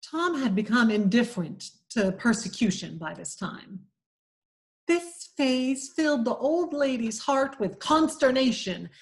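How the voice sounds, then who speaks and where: A woman with a New England accent reading a classic novel aloud, in a measured, expressive storytelling manner. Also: a little high. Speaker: community reader.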